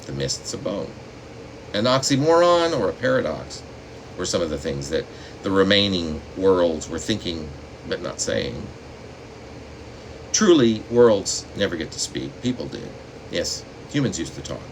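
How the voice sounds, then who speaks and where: Male poet, very deep register, reads in measured dramatic phrases, faint room noise behind him. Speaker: poet reading own work. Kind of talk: poetry reading with spoken asides. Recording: steady room noise, air conditioning hum.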